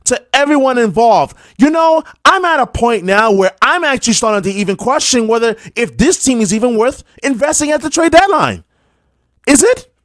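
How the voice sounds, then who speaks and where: A man's voice: high voice, dry recording, studio quality. A man working as a sports radio host, delivering commentary and updates.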